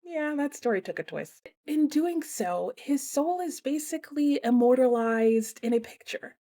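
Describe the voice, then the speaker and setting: A young woman hosting her book channel, announcing a readalong in a chatty, casual way with lots of fillers and lively swings in pitch. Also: unhurried. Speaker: booktube host.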